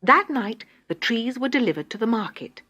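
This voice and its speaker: A woman reading a children's story in an expressive, theatrical narrator's style. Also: fairly high pitch, moderate pace, slightly rough, dry recording, studio quality. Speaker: storybook reader.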